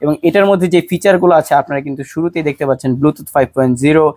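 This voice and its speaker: A man with a Bangladeshi accent presenting a product pitch, speaking directly to viewers like a shop salesman. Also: fast, a little mellow, dry recording. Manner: salesman-like, addresses viewers directly with rhetorical questions. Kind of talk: promotional product pitch to camera.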